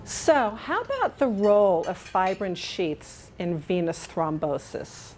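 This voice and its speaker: A woman's voice: medium pitch, very animated, slow pace, darkish tone, a little hiss or hum. A middle-aged woman from England, with no trace of an accent, giving a nurse education talk in a dining room, upbeat and chatty and often asking the audience to agree. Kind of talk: conference presentation. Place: dining room with large windows.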